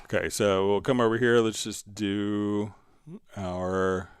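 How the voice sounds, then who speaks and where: A man hosting a casual live software tutorial, thinking aloud in an informal way. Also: lowish voice, steady tone, slow pace, a little hiss or hum. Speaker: livestream host, software instructor.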